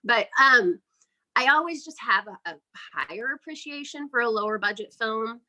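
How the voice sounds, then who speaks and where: A woman's voice: on the high side, animated, normal speed, sharp tone, rough recording. A woman speaking casually and chattily as an interview guest, explaining her work as an independent filmmaker.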